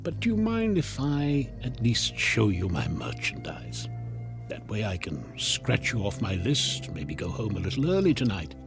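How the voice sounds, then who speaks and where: A man's voice: on the low side, huge pitch swings, unhurried, over music, heavily acted. An actor playing a sly, devilish door-to-door salesman in a radio drama, with glib, coaxing, theatrical sales patter. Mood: smooth sales pitch. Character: sly devilish door-to-door salesman peddling a time-bending clock. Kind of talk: modern radio drama acting.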